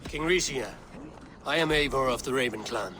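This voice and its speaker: An actor playing a resolute, grim and forthright Viking warrior in a dramatic video game. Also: male voice, medium pitch, normal speed, sharp tone, slight background noise, close to an everyday voice. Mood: firm, persuasive.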